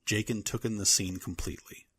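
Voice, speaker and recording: A man's voice: fairly low pitch, steady tone, brisk, bright, forceful tone, husky. A man reading scripted dramatic fiction as a narrator.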